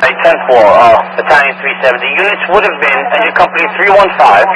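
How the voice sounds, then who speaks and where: A man's voice: on the high side, expressive, fast, dull audio. A man working as a fire dispatcher, speaking in terse, clipped, businesslike phrases over two-way radio. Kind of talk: terse radio dispatch, reading units and codes. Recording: noisy fire radio scanner audio.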